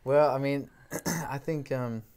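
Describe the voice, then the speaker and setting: A young man from Canada with an accent mixing Canadian and English, chatting softly and rambling with many fillers in a small studio room. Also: mid-range voice, fairly even intonation, takes it slowly, smooth. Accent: Canadian mixed with English. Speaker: guest singer-songwriter. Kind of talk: casual chat, then singing with acoustic guitar.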